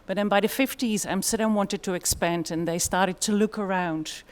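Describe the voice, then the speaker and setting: A woman's voice: mid-range voice, steady tone, normal speed. Dutch-accented woman giving a talk. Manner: thoughtful, with frequent fillers. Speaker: conference speaker. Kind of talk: conference presentation.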